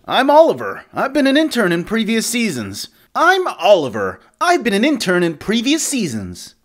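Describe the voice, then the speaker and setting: A man's voice: sits high, huge pitch swings, moderate pace, a bit bright, voice pushed up, full character voice. A man voicing an eager, earnest, nerdy young former intern for a cartoon audition. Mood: eager, anxious. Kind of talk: animated series audition read.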